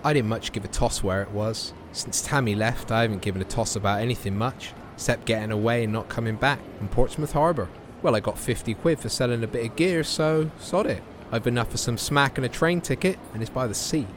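An actor playing a heartbroken, resigned young homeless drug user in an audio drama, speaking in weary, understated broken phrases. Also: male voice, medium pitch, fairly quick, few pauses, noisy background, lightly acted. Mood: weary, defiant. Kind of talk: intimate audio-drama monologue. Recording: music behind the voice.

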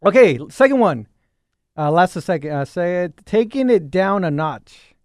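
Young man with a deep, lively voice. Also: normal speed, dry recording, studio quality. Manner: joking, storytelling, teasing. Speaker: podcast co-host. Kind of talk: casual chat.

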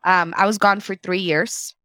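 A woman's voice: fairly low pitch, steady tone, moderate pace, pushed and bright, dry recording, clean studio sound. A woman, reflectively telling her story as an interview guest and slipping Spanish phrases into her English. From Mexico.

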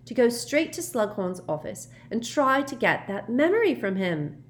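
Woman voicing a brisk, high-voiced schoolgirl. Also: big pitch swings. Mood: advising. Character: teenage girl, brisk, bossy, sensible schoolmate.

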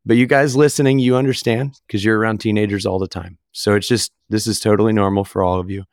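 Male podcast co-host, lowish voice, a touch hoarse, relaxed, dry close mic. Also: quick pace, dry recording. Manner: easygoing, loose, lots of fillers. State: recovering from voice loss, occasional squeak. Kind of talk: casual podcast chat.

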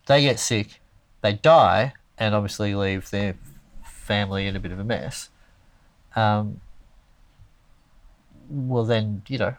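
A man's voice: on the low side, dry recording. A man hosting a casual chat, rambling and thinking aloud with many restarts.

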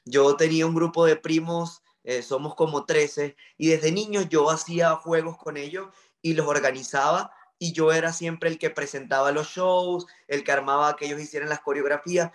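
A man's voice: fairly high pitch, a bit bright. A man from Venezuela with a Maracaibo accent, a journalist telling his life story in an interview, earnest and reflective with frequent fillers. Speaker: journalist guest.

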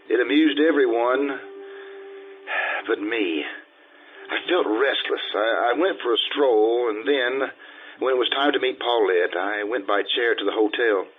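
An actor playing a vain forty-year-old social climber posing as a gentleman, pompous and fussy, in the theatrical style of old-time radio drama. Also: lowish voice, phone-quality sound, close to an everyday voice.

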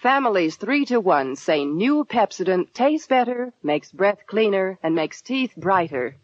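A woman's voice: mid-range voice, sing-song, a little slow. A woman giving an emphatic, scripted 1940s radio advertising read. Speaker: radio commercial announcer. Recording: muffled vintage radio recording.

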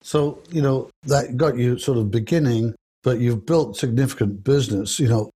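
A man's voice: mid-range voice, normal speed, dark tone, slightly rough. A man hosting a podcast who reads a scripted intro and then asks long, loosely worded questions. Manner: long, loosely phrased questions full of "you know". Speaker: podcast host.

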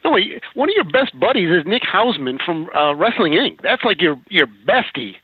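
A man co-hosting, reading out listener questions in a casual, relaxed way. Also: high-pitched, normal speed, slightly rough, no room sound. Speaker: co-host. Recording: tinny dry phone line.

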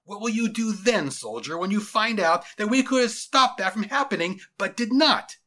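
A man acts out a zealous middle-aged general from an audio storybook. The voice is bright and forceful, pitched fairly high, with big swoops as he declaims and commands like someone who will not be questioned. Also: animated, normal speed. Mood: fierce, menacing. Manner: commanding, declamatory, barking orders.

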